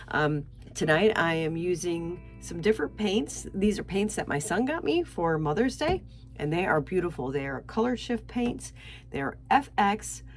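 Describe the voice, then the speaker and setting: An older woman who sounds nasal and stuffed-up from a sinus infection, chatting patiently through a painting tutorial step by step. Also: fairly low pitch. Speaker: artist demonstrating fluid painting. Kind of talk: casual tutorial narration.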